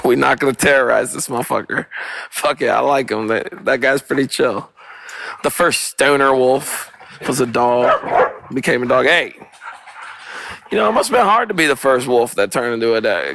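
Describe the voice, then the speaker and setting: A hoarse young man hosting a casual solo podcast outdoors, rambling and cursing with spiritual asides, recorded on a portable mic rather than in a studio. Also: medium pitch, fairly bright tone, slight background noise. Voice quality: rough, gravelly voice. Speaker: podcast host. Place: outdoors on a walk with dogs. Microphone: portable, not studio quality. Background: dogs playing.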